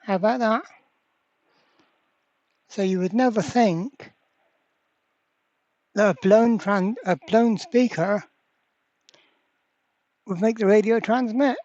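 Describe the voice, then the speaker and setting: A repair channel host giving a casual hands-on demonstration at a workbench, halting and thinking aloud while working. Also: medium pitch, a little mellow, no echo, muffled recording. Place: indoor workbench.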